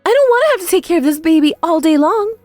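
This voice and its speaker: Bratty cutesy young woman, very high and sing-song, as a woman narrator puts her on. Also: animated, fast talker, a little mellow, dry recording, soft background music.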